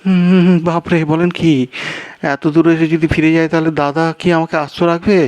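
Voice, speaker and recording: Man putting on anxious, high-pitched flustered fellow. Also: little pitch movement, moderate pace, a little mellow, clean tone, no echo, close to an everyday voice. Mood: agitated. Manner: flustered, stammering, exclaiming. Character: anxious, gullible man arranging his niece's wedding, easily flustered. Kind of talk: Bengali audio story character dialogue.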